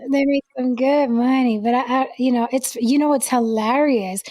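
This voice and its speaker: Slightly hoarse blunt New woman host. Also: high voice, normal speed, warm tone, a little hiss or hum. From New York. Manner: blunt, outspoken, swears freely. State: losing her voice, slightly hoarse. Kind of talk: casual live-stream chat. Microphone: desk mic on a live stream.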